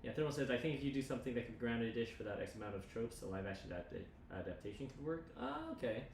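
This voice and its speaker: Casting: male streamer, rapid and rambling casual talker, roomy sound with faint noise behind. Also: medium pitch, expressive, gravelly, darkish tone, recorded from a distance. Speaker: livestream co-host. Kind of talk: casual livestream chat.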